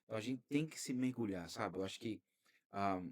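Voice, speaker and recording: A man hosting a podcast interview, talking in a lively way and drifting into preacherly asides. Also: fairly low pitch, fairly quick. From Brazil. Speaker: podcast host. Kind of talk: casual interview.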